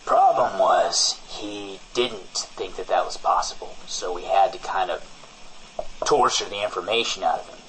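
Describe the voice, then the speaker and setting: A man playing a shady, evasive businessman in improvised comedy banter, deadpan and casually menacing, often backtracking on himself. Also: low-pitched, moderate pace, slight background noise, low-quality recording. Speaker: podcast co-host playing a character.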